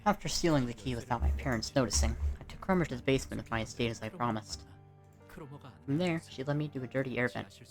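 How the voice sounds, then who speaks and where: Amateur narrator for a first-person story, mid-pitched, reading from a script with music behind. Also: fast talker. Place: indoors at home.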